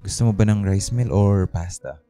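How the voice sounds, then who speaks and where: A man acting an attentive, caring young suitor with a gentle manner in a radio romance drama. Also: on the low side, normal speed, lightly acted. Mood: casual, caring.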